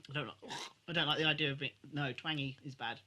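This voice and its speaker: A man's voice: on the high side, fairly even intonation, quick pace, sharp tone. A man co-hosting a podcast in casual banter, blunt and chatty with some swearing. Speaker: podcast co-host.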